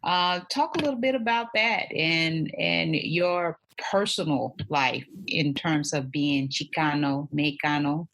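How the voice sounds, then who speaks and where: A woman hosts an interview in a notably low voice. She speaks slowly and deliberately, with fillers, and keeps affirming her guest with soft "mm-hmm"s. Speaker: podcast host. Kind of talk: interview questions.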